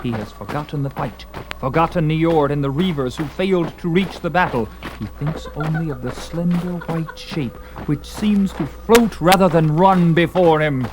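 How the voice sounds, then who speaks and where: A man's voice: fairly high pitch, moderate pace, background noise. A man narrating a dramatized story in an intense, theatrical and portentous manner. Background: prominent music.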